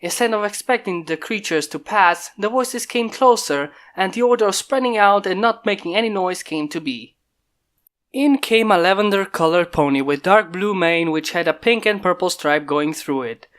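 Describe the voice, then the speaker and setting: A man reading a fan story aloud in steady, plain narration. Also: sits high, moderate pace, clean tone. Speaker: fanfic reader. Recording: mic pops from a failing microphone.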